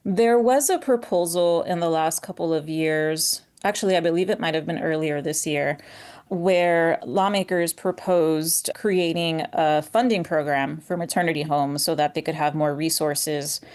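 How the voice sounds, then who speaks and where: A woman with a distinctly low voice speaks as a reporter being interviewed. She explains things in a measured, careful way. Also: darkish tone. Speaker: newspaper reporter.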